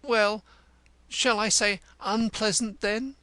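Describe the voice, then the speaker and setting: A man's voice: sits high, fairly even intonation, slow pace, bright, forceful tone, clear, resonant tone, dry recording, pitched above the performer's own voice, heavily acted. A man voicing a pompous, self-important male poet in an audiobook, speaking in a florid, easily offended way. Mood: aggrieved, lofty. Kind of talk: audiobook character voice.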